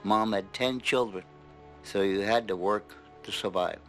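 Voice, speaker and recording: A man's voice: lowish voice, measured pace, fairly bright tone. An elderly man with a Philadelphia accent, a war veteran reminiscing bluntly in an interview. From South Philadelphia, United States. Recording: music behind the voice.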